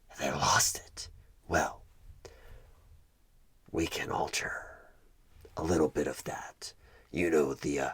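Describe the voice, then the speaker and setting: A man playing a sinister, smug devil of a recruiter in a horror ASMR roleplay, coaxing and condescending, with a gravelly voice that often slips toward a whisper. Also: rumbling low, fairly bright tone, exaggerated voice. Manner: slow, deliberate, coaxing, condescending. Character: sinister devilish male recruiter, smug soul-buying tempter. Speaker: roleplay performer playing a job interviewer. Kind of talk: one-sided horror ASMR audio roleplay.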